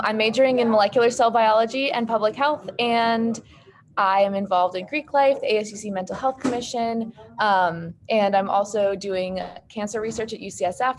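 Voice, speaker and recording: A woman's voice: medium pitch, a little hiss or hum. A young woman from Auburn, California, introducing herself as a student panelist on a video call from her lab, friendly and composed. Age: young adult.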